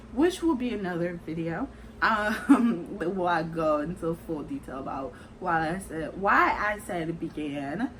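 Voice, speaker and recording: A woman's voice: medium pitch, a little hiss or hum, poor audio. A woman in her twenties talking casually to camera in a chatty, rambling way, filling her speech with "like" and "kinda". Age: 20s. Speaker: vlogger.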